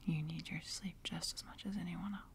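A woman playing a tender, sleepy, teasing girlfriend in an ASMR roleplay, speaking softly and intimately in a soothing whisper. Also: fairly low pitch, fairly even intonation, brisk, slight background noise. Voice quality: soft, breathy whisper with some fry.

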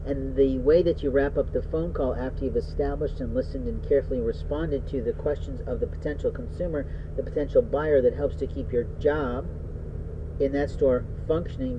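A man's voice: mid-range voice, fairly even intonation, normal speed. A man giving an instructional employee training monologue in a didactic way, with long-winded formal phrasing. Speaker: business trainer. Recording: muffled lo-fi audio with loud hum.

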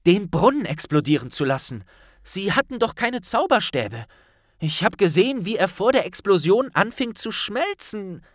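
A man's voice: high-pitched, very animated, moderate pace, a bit bright, no room sound, clean studio sound, pitched above the performer's own voice. Man voicing an earnest, troubled boy wizard. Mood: urgent. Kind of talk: audiobook character dialogue.

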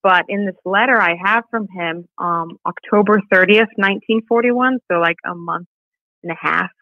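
A woman's voice: medium pitch, moderate pace, no room sound. Female podcast guest, conversational storyteller, dry but muffled call-quality audio. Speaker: podcast guest, nonprofit director. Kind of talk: conversational interview, reading a family letter. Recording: muffled, band-limited remote call audio.

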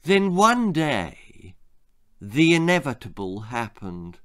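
Male storyteller, sixties, slow and theatrical, wide swings in pitch, for grisly children's fiction. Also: smooth. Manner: expressive, deliberate, theatrical. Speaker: author reading his own stories. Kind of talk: dramatic children's storytelling, scripted narration. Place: small book-lined room indoors.

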